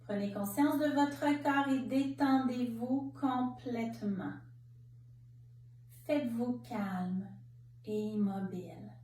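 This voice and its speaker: Slow-speaking woman guiding relaxation, roomy sound. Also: on the high side. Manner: calm, measured, instructive, with pauses between short phrases. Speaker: yoga nidra instructor. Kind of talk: guided relaxation meditation. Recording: roomy, distant mic, faint background noise.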